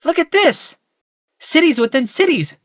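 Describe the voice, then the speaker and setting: Audiobook role: impassioned woman performed by a man, pitched far up, exclaiming, animated. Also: unhurried, dry recording, clean studio sound, heavily acted. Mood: marveling. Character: earnest, emotional woman, builder of the temple.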